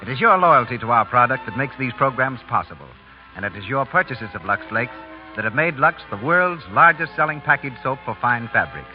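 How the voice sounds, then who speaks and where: A man's voice: medium pitch, sing-song, brisk, soft background music. Vintage male announcer, muffled sound. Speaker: radio announcer. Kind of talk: formal 1930s radio announcing and sponsor reading. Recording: muffled 1930s radio broadcast.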